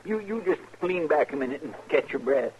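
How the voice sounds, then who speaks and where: A man playing a kindly, simple marshal's sidekick with a rural American accent and a creaky, folksy drawl, 1950s radio western. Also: on the high side, lively intonation, fairly quick, close to an everyday voice. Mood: soothing. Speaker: radio drama actor. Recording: muffled, hissy old radio recording.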